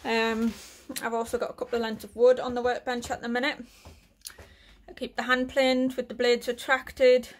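A woman's voice: fairly high pitch, moderate pace. A woman from the UK giving a casual tour of her small shed workshop, listing tools with lots of fillers while filming on a handheld phone. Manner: relaxed, listing items with frequent fillers. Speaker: woodworking vlogger.

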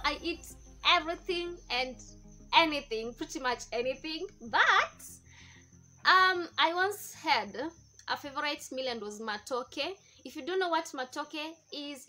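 A woman's voice: high voice, bright, forceful tone. A Kenyan woman in her twenties chatting to the camera, answering get-to-know-me questions and repeating herself as she goes. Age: 20s. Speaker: vlogger. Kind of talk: casual chat to camera. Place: indoors in front of a patterned backdrop. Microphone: camera facing her at close range.

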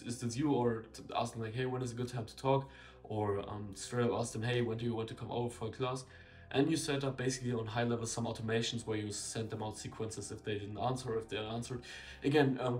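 A man's voice: medium pitch, darkish tone. A young man talking to camera in a small room, rambling and blunt, full of fillers and self-corrections. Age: young adult. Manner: candid and blunt, casual swearing. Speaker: marketing consultant. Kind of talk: casual talking-head video. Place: small indoor room with a window.

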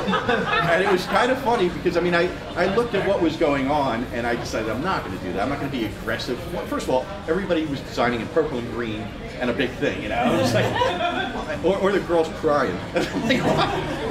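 A man's voice: mid-range voice, very noisy. A man in his fifties giving a casual, self-deprecating talk at a meetup, laughing often as he tells stories. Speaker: guest speaker. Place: meetup room in a store, in front of a screen. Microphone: far camera. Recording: echoey room with loud ambience.

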